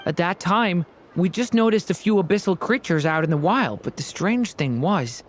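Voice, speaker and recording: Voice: medium pitch, animated, moderate pace, slight background noise, close to an everyday voice. A voice actor playing a polite, earnest, boyish young companion in a fantasy video game. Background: music.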